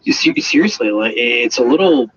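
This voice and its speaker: A man's voice: medium pitch, animated, normal speed. A man giving a casual spoken report as a radio contributor. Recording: dull lo-fi radio, faint noise.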